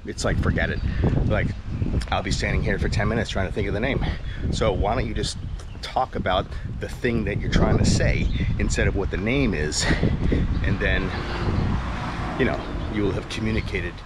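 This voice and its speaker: A man's voice: on the low side, moderate pace. A man in his forties rambling and joking through a casual walking tour vlog, filmed on an action camera held at arm's length outdoors. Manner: rambling, jokey, chatty self-talk. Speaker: vlogger. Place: outdoors on a sunny residential street. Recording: outdoor street noise. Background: street ambience and passing cars.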